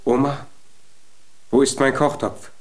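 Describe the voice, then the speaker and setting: An actor playing a small, earnest, naive young giant in a German children's radio play. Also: male voice, mid-range voice, little pitch movement, slow, faint music behind, natural voice. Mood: polite, busy.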